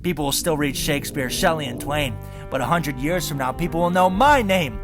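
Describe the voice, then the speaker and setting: A man voicing a manic, attention-hungry supervillain with a grandiose, theatrical delivery in an animated series. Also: on the high side, fairly quick, music in the background, close to an everyday voice.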